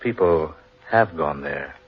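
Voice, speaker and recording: Animated, earnest gentleman, muffled radio. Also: rumbling low, slow pace, slightly rough, heavily acted. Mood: earnest, insistent. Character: earnest, intrigued English card-playing gentleman, believer in legends. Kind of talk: old-time radio drama acting. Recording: dull, muffled old radio recording.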